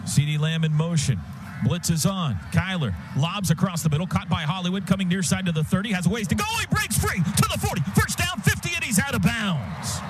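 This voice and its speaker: An American man calling live football on the radio in clipped phrases, lifting with excitement as plays open up. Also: high voice, fairly quick. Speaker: radio play-by-play announcer. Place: stadium radio booth. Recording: loud stadium noise behind broadcast booth. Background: loud outdoor stadium ambience.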